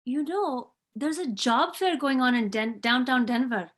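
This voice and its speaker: A woman's voice: high-pitched, a little slow. A woman reading the part of a worried, nagging mother in her fifties at an online table read, sounding fretful.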